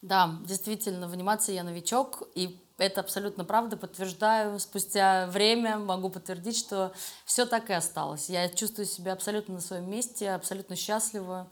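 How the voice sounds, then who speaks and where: Middle-aged woman, interview guest, conversational answers, mid-pitched voice, candid, self-deprecating. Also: fairly even intonation, fairly quick. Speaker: interview guest, animation studio creative director.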